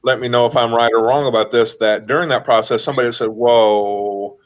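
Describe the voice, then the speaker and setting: A man's voice: medium pitch, steady tone, a little fast. A man in a casual talk, laying out his reasoning with thoughtful questions. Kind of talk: casual chat. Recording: dull lo-fi audio.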